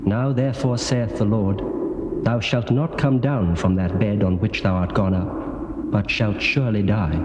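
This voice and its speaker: An actor voicing a stern, solemn angel who speaks low and grave. The voice rings through a long, echoing hall. It sits a little below the other voices. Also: male voice, fairly even intonation, unhurried, background noise, natural voice. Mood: solemn command. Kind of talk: dramatized scripture reading. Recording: echoey hall reverb on voice.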